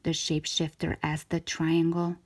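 A woman reading a children's picture book aloud in expressive narration, drawing out key words for emphasis. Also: deep voice, normal speed. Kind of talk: expressive scripted storybook narration for young children.

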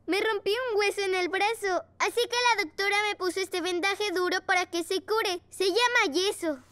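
A woman voicing a gentle young panda boy in a children's cartoon, childlike and earnest. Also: very high-pitched, moderate pace. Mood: cheerful. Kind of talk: animated children's cartoon dub. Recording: music behind the voice.

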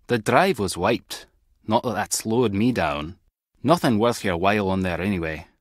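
Husky, wry Scottish spy played by a man. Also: on the low side, moderate pace, no room sound, clean studio sound, natural voice. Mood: annoyed, dry. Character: wry, clever male tech-savvy spy agent. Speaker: video game voice actor. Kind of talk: scripted adventure game dialogue.

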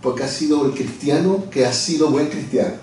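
A middle-aged man speaks in a mellow, darker tone as an interview guest. He explains his views reflectively and often restarts mid-phrase. His voice is heard from a distance in a very echoey office. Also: on the high side, fairly quick, a little hiss or hum. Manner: thoughtful, searching for words with many restarts. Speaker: interview guest, lawyer and communications director. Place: office, seated at a desk. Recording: echoey room from far off.

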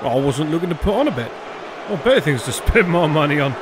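An actor playing a chummy but slyly threatening peasant in a comic fantasy audio drama. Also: male voice, fairly high pitch, big pitch swings, quick pace. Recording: noisy outdoor ambience.

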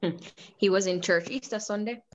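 A woman's voice: mid-range voice, normal speed, lightly acted. Woman acting a resentful Jamaican daughter, muffled sound. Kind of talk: radio drama acting.

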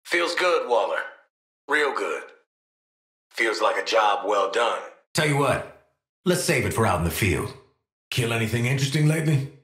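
A man's voice: on the low side, a bit bright, roomy sound. An actor playing a tough, wry male assassin and protective father in a video game, with a sardonic, streetwise manner.

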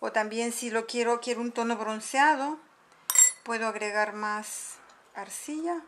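A middle-aged woman calmly explaining, step by step, how she mixes powders at a table at home, filmed by a camera above the table. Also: a little high, a little slow, slight background noise. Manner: patient step-by-step explaining while mixing powders. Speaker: makeup tutorial host. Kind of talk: casual how-to demonstration. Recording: home video with clinking dishes. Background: clinking spoons and bowls.

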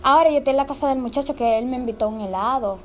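Young woman, high voice, anxious pleading chat, slightly noisy indoor recording. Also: rapid-fire. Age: young adult. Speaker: prank caller, vlogger. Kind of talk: casual phone chat, feigning nervous worry. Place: indoors in a bare-walled room.

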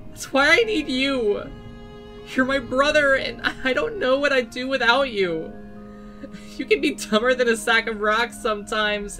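A woman's voice: on the high side, a bit bright. A woman voicing a caring, blunt and protective teenage sister in an earnest fan dub. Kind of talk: emotional fan-dub voice acting.

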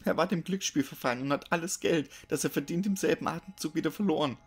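A man's voice: on the high side, fast talker, slightly rough, soft background music, voice pushed up. A man voicing a desperate, guilt-ridden woman crying out in anguish in a horror story. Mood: frantic confession. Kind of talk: dramatic horror story reading.

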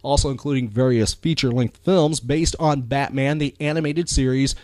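A man's voice: mid-range voice, steady tone, moderate pace, a little mellow, dry recording. A man hosting a chatty pop culture podcast, talking with enthusiasm and emphasis, often repeating phrases for effect. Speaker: podcast host.

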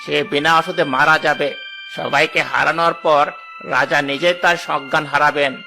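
A man playing a cruel, ambitious royal minister in a fairy-tale cartoon, speaking in a forceful, menacing manner. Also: on the high side, steady tone, normal speed, vocal fry, faint music behind, heavily acted. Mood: cold scheming.

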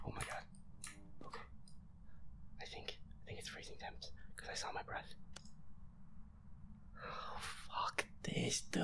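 A man's voice: fairly high pitch, gravelly, exaggerated voice. A man playing a feisty werewolf boyfriend in an audio roleplay, muttering nervous, sweary complaints while playing a horror game. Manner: sweary, jittery, muttering complaints under the breath.

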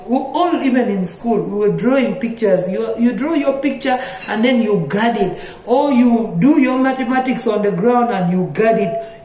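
A young man telling funny childhood stories to his phone camera, laughing often and slipping into high voices for the people he quotes. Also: a little mellow. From Africa. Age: young adult. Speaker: vlogger. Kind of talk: casual comic storytelling to camera. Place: small bright indoor room. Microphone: phone held close at arm's length. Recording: echoey small room.

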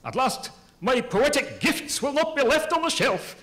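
A man's voice: very high-pitched, expressive, normal speed, fairly bright tone. A man playing a grandiose, self-important poet who declaims in rhyme in a theatrical comic reading. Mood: grandly excited. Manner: pompous, declamatory, rhyming.

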